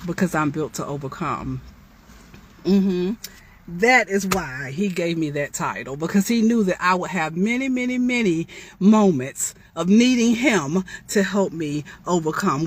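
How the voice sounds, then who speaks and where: A woman's voice: mid-range voice, a bit bright. A woman author giving an inspirational storytime talk, reading from her own memoir with chatty, testifying asides that address listeners directly.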